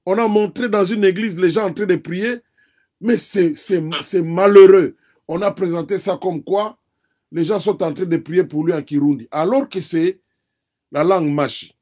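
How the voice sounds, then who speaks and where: A man's voice: high-pitched, steady tone, moderate pace, mellow. A Congolese man whose first language is Kikongo, speaking as an interview guest in earnest conversational commentary and building his argument point by point. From Democratic Republic of the Congo. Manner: earnest, argues point by point with repetition.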